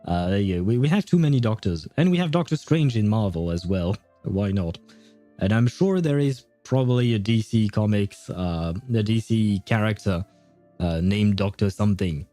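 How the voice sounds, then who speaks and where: A man's voice: lowish voice, lively intonation, normal speed, mellow, faint music behind. A man doing casual livestream game commentary, jokey and rambling as he thinks aloud into a close mic.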